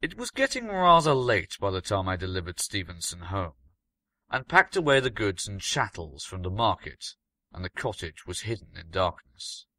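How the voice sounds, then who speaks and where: A man narrates gothic fiction in a bright, forward voice with lively intonation. His delivery is measured and dramatic, recorded dry and close. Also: low-pitched, no echo. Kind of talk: scripted story reading, gothic first-person fiction.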